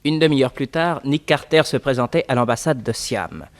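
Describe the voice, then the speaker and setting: An actor serving as storytelling narrator of a 1960s French radio detective serial. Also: male voice, medium pitch, expressive, moderate pace, no echo, natural voice.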